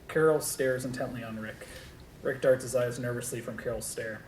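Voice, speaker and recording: Man, script narrator, monotone, stage directions, roomy echo with faint rumble. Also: normal speed. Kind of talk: scripted narration at a table read. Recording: echoey room with low rumble.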